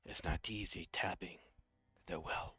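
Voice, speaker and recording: Man voicing an ominous, slow-speaking ancient bony creature. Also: sits low, fairly even intonation, pushed and bright, in a whisper, dull audio, full character voice. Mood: brooding, sinister. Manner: slow, cryptic, menacing.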